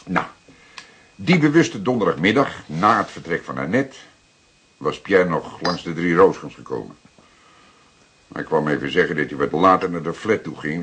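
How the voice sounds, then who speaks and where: An actor playing a seasoned older police detective in a Dutch radio drama, explaining things deliberately with dramatic pauses. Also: male voice, low-pitched, a bit bright, husky, full character voice. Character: seasoned older male police detective, calm, plain-spoken storyteller.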